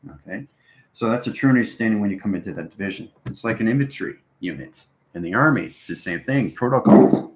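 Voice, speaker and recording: Low-voiced man in an echoey room. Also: animated, brisk, very noisy. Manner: instructional, frequent check-in tags like okay and right. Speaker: host answering live viewers. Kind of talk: live-stream spiritual talk, rambling explanation.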